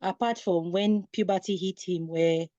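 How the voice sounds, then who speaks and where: A woman's voice: on the low side, fairly even intonation, normal speed, warm tone, no echo, dull audio. A woman, a guest parent on a talk show, telling personal stories in a conversational way.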